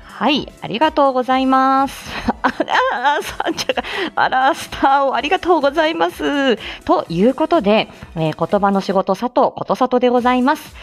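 A woman hosting a casual morning livestream, talking in a polite, chatty way and often pausing to find her words. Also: sits high, lively intonation. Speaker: host of a weekly morning livestream; speech-language therapist. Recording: faint music behind the voice. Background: faint background music.

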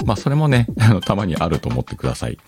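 A male host chats casually and reads out listener letters in a rambling way. His voice is low with lively swings in pitch, over faint music. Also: quick pace.

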